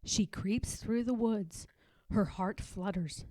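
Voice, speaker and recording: A woman reading a children's picture book aloud in a measured, dramatic narrating style. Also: medium pitch, darkish tone, no room sound. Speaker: storybook reader.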